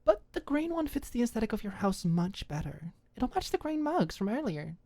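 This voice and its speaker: A woman's voice: fairly high pitch, very animated, moderate pace, mellow, pitched above the performer's own voice. A woman voicing a sweet, bubbly young woman in an audiobook. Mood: delighted. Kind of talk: audiobook character acting.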